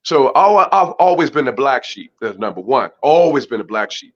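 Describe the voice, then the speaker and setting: Man, deep voice, emphatic, preachy, dull lo-fi sound. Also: animated, moderate pace. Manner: emphatic, preachy, keeps asking "right?". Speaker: guest, boxer and community mentor. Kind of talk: casual interview talk.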